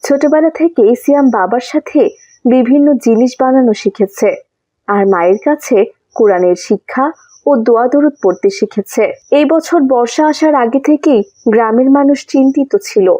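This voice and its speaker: Mellow higher-pitched woman narrating a story. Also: normal speed, no room sound. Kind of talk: scripted children's story narration.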